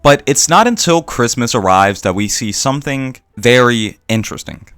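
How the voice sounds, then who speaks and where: A man narrating a scripted video essay in a reflective, measured way with deliberate pauses. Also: medium pitch, animated, fairly bright tone, smooth, no echo. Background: background music.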